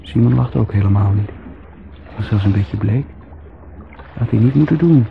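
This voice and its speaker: A man's voice: on the low side, moderate pace, vocal fry. An actor playing a wry, musing, fatalistic man in a 1970s radio drama, speaking with irony. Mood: wry, uneasy. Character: Joris, sardonic, rambling, fatalistic brother. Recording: tinny, noisy 1970s radio recording.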